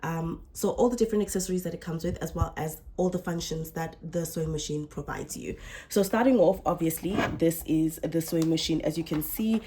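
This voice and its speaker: A young woman talks through a casual tutorial in a lowish, mellow voice. She sounds a little under the weather and often stops to correct herself. Faint noise and clicks sit behind her. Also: fairly quick. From South Africa. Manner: chatty, self-correcting explainer. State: slightly unwell, coming down with flu. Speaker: sewing channel host.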